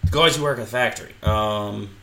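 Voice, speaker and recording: A man's voice: lowish voice, a little fast, gravelly, reverberant. A man co-hosting a podcast, chatting casually about a movie and telling jokes with lots of fillers. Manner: animated, loose joke-telling with fillers. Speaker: podcast co-host.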